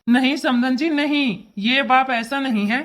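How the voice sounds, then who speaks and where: A woman's voice: high voice, a little slow, very noisy. A woman voicing an indignant, protective father who lectures emphatically in a cartoon family drama. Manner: emphatic, lecturing, repetitive scolding.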